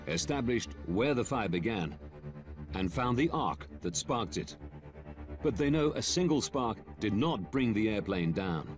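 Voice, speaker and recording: Grave male documentary narrator over music. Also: lowish voice. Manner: measured, grave delivery. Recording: music bed behind narration.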